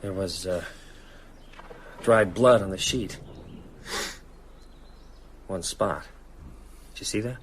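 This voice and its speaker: A man's voice: sits low, slow, pauses often, a little hiss or hum. An actor playing a sceptical, shaken man in a horror radio drama, arguing rationally and incredulously in a naturalistic style.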